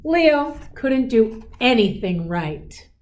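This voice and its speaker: Slow-paced woman reading a picture book aloud. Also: a little high. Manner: encouraging, explains pictures and asks listeners questions; expressive, emphatic storybook delivery. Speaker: school superintendent reading to children. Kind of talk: read-aloud of a picture book with chatty asides.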